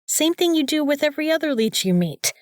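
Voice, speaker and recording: A woman's voice: sits high, very animated, fairly quick, no echo, studio quality. A young woman acting in an audio drama as a bossy, sarcastic student who talks in an opinionated, emphatic way. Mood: scolding, sarcastic. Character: bossy, sarcastic young female student, vampire slayer's sidekick.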